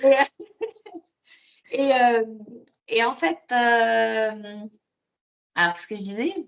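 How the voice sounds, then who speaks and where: A woman's voice: fairly high pitch, extremely slow, creaky, lo-fi sound. A woman from Morocco talking casually as an interview guest, telling her own story with repeated words as she thinks. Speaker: podcast guest; osteopath and student.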